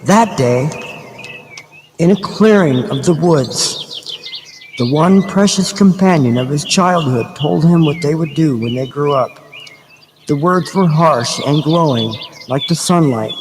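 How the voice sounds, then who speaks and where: A man reading a novel aloud as a narrator, in a measured, dramatic audiobook style with deliberate pauses between phrases. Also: a little high, slight background noise.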